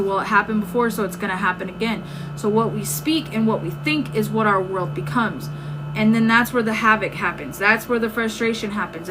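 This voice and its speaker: High-voiced woman coach, voice giving out. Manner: conversational, emphatic, checks in with "right?". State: losing her voice. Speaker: live-stream host and self-help coach. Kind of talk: informal motivational live-stream talk. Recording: clearly noisy background.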